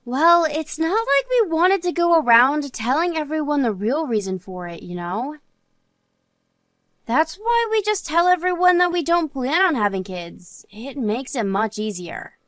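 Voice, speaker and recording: A woman's voice: high voice, very animated, a little slow, a bit bright, no echo, clean studio sound, exaggerated voice. A woman playing an earnest married woman and loyal friend in a scripted story drama. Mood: earnest, confiding.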